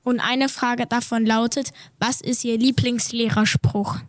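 A woman reading out interview questions for a class radio show, brisk and plain. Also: fairly high pitch, little pitch movement, no room sound, clean studio sound. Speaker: pupil interviewer.

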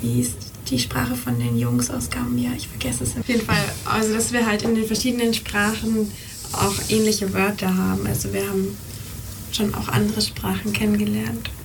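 A woman recalls the project in a loose, casual way and drops quick whispered asides. Her voice is creaky, and she is picked up from a distance against clearly audible background ambience. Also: medium pitch. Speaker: project participant. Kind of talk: casual recollection. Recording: distant mic with noisy ambience.

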